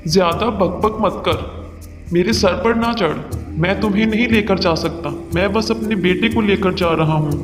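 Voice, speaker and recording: Stern, self-serving bird father for a kids' cartoon, played by a man; high-pitched and declamatory, in a hall-like reverb. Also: moderate pace, lightly acted. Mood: harsh. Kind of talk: Hindi children's cartoon dubbing. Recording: long hall reverb, music underneath.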